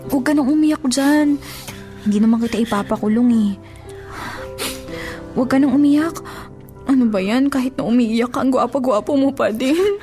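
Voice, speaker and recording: Babaeng gumaganap bilang malanding dalagang umiibig, mataas ang boses, may musika. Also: female voice, high-pitched, normal speed, pauses often, warm tone, natural voice. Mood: forgiving, smitten. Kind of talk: radio drama acting. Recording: music playing under the voice.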